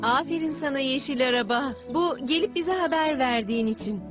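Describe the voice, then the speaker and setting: Loud music backs a brisk female race official, acted by a woman in a cartoon. Her voice is high, sensible and in charge. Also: lightly acted. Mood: matter-of-fact.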